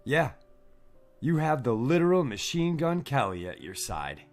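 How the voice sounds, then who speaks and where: Man acting a teasing young boyfriend, lively swooping voice. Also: mid-range voice, measured pace, soft background music. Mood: dry, joking. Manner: jokey, teasing. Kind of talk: audio drama dialogue.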